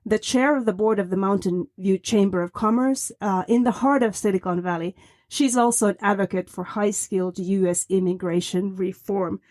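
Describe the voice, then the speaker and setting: Brief: woman host, mid-pitched, dry close sound, friendly interviewer. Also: normal speed, a little mellow, dry recording. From Finland. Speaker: podcast host. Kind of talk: interview hosting.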